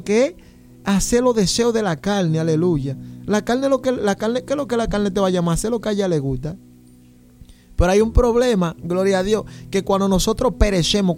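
A man's voice: sits high, darkish tone. A Dominican man preaching an informal, testimonial sermon, fervent and exclamatory, peppered with refrains of praise. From San Juan de la Maguana, Dominican Republic.

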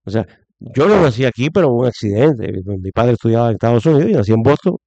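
A Puerto Rican man with a Puerto Rican accent, giving forceful opinions as a guest, with lots of fillers and restarts. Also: mid-range voice, animated, quick pace, no room sound, lo-fi sound. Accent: Puerto Rican Spanish. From Puerto Rico. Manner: emphatic, many fillers and restarts. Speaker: guest commentator. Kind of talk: opinionated conversational commentary.

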